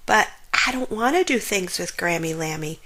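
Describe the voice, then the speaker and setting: A woman's voice: lowish voice, lively intonation, moderate pace, sharp tone. A woman voicing a shy, reluctant, grumpy little boy lamb in a children's storybook. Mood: sulky.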